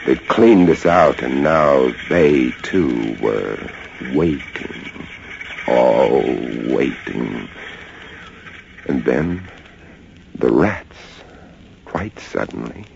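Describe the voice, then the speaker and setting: An actor playing a haunted lighthouse keeper telling his tale in a dramatic, halting vintage radio drama style. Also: rumbling low, expressive, vocal fry, noisy background, exaggerated voice. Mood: hushed dread. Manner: dramatic, halting, suspenseful storytelling. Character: haunted lighthouse keeper, a man, recounting a rat siege. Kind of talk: 1940s-50s radio drama narration. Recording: dull vintage radio recording, loud music behind.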